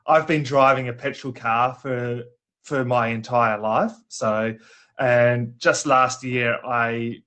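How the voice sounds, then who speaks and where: Male leadership guest, bright, forward tone, anecdotal, laughs often. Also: medium pitch. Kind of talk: casual interview over a live video call.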